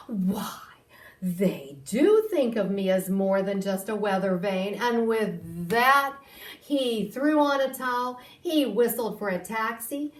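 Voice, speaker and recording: A woman reads a picture book to children at an unhurried pace. Her voice is fairly high and very animated, with dramatic pauses, picked up at some distance in an echoing room. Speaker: library storytime reader. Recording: roomy echo, distant microphone.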